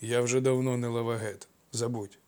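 Weary middle-aged commander, voiced by a man, curt, gruff, mid-pitched, storybook. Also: level tone, normal speed, a little mellow, close to an everyday voice.

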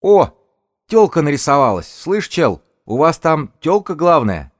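A cocky young gang lad with a shrill, raised voice, put on by a male narrator. He jeers crudely and loudly, taunting like a street punk in an audiobook thriller. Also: very animated, a little fast, no room sound, clean studio sound. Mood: jeering.

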